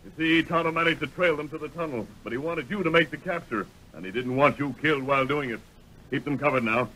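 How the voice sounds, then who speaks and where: A man's voice: a little high, pushed and bright. An actor playing a commanding, heroic masked man of the Old West with an American accent in a vintage radio drama. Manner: authoritative, deliberate, heroic. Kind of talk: 1930s-40s radio western acting. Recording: noisy vintage radio recording.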